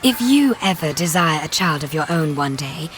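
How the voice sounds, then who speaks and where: An actress in a scripted audio drama playing a polished, guarded tour guide at a child incubation facility, formal and measured. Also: female voice, sits low, lively intonation, a bit bright, slight background noise. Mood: pleasant, proud. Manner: formal, measured, politely evasive.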